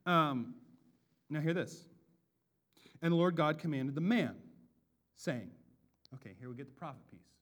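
An American man delivers an expository sermon in a slightly high voice. He swings between low, offhand asides and booming, drawn-out lines of emphasis. His voice is big, and he sounds like he has no inside voice. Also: expressive, fairly bright tone. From Bellingham, Washington, USA. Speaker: pastor.

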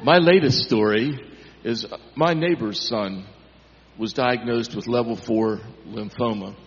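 A man with a deep voice telling a church testimony in a folksy way that builds into preacher-like emphasis. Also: unhurried. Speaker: congregation member. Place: church sanctuary. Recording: echoey church hall, dull sound.

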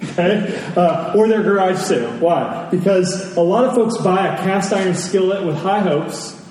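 A man delivers a sermon in an earnest, conversational way, with rhetorical questions and deliberate pauses. His voice sits a little high yet sounds mellow. It reaches the listener from a distance, washed in heavy hall reverb. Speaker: preacher. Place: large reverberant room, church service. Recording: very echoey large hall, distant, noisy.

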